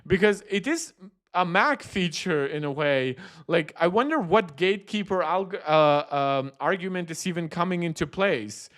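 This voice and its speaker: A man's voice: high voice, expressive, normal speed, fairly bright tone. A young man from Germany giving rambling, opinionated live-stream commentary, full of restarts and fillers. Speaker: streamer reacting to a tech video.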